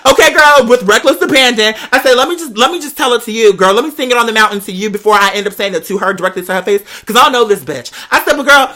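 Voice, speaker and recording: A woman telling a personal story as a host, in animated, slangy casual talk with a sassy, dramatic manner, peppering it with "girl" and swearing. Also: a little high, fast talker, few pauses.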